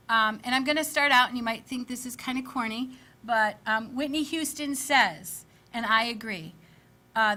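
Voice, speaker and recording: A woman, giving an energetic, chatty candidate statement at a public forum. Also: a little high. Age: 50s. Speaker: school board candidate.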